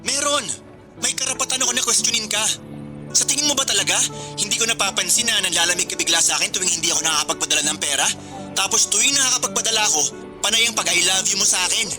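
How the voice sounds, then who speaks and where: A man's voice: sits high, racing, close to an everyday voice. A man playing an earnest, hurt and suspicious young boyfriend in a radio drama, speaking emotionally. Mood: hurt, accusing. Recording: music bed under the dialogue. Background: background music.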